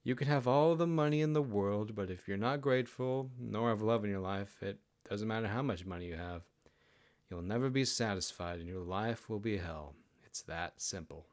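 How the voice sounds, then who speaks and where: A man's voice: lowish voice, moderate pace, a little mellow, close to an everyday voice. Man voicing a humble, earnest fox-folk peasant. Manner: plainspoken, earnest. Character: humble, grateful kitsune peasant husband and father.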